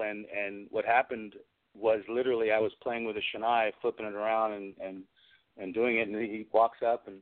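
Man telling stories over a phone-like line. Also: fairly low pitch. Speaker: guest, film special effects and prop maker. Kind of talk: casual anecdotal interview chat. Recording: phone-like narrow-band radio call-in audio.

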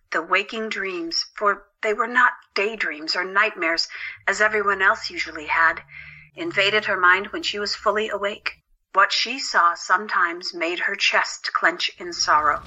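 Female narrator, very bright crisp-edged tone, lowish pitch, scripted storytelling. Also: low-quality recording.

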